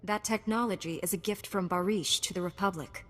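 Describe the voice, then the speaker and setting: Woman as game heroine knight, medium pitch, firm, assured, principled, long reverb. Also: steady tone, brisk, a bit bright, natural voice. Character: resolute, principled young female Jedi knight. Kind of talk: video game cutscene acting.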